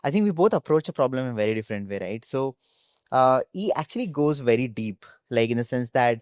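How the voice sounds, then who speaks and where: An Indian-accented man speaks as a founder in a casual interview. He is mid-pitched and halting, leaning on filler phrases, with a dry, roomless sound. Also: expressive, darkish tone, clean tone, no echo. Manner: halting, peppered with "like" and "you know". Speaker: startup founder being interviewed.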